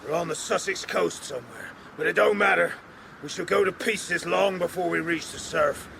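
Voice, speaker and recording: An actor playing a gruff, fatalistic seaman in a period audio drama, blunt in his way of talking. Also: sits high, normal speed, noisy background. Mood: grim, fatalistic. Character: gruff, fatalistic seafaring man of period coast.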